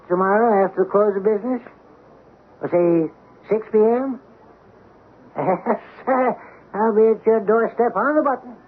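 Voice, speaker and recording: A man's voice: high-pitched, husky, heavily acted. A man playing an oily, sanctimonious, grasping coin dealer in a florid, unctuous radio drama style. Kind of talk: old-time radio drama acting. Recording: dull, noisy old radio broadcast.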